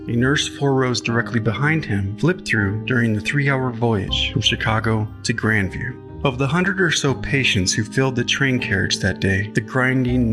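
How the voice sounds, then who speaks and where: A man reading scripted literary fiction as a podcast narrator, with a measured storytelling delivery. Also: mid-range voice. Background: music, guitar.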